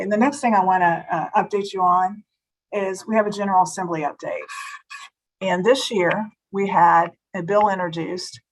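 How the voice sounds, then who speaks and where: A woman giving a food safety presentation at a webinar, slightly hoarse and explaining step by step with small restarts. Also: fairly low pitch, low-quality recording. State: slightly hoarse, losing her voice.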